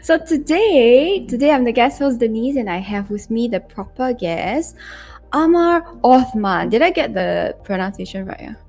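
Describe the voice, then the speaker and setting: A woman's voice: on the high side, expressive, moderate pace, creaky, darkish tone, faint music behind. A woman in her thirties hosting a casual podcast interview, chatty and teasing, laughing often. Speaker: guest host.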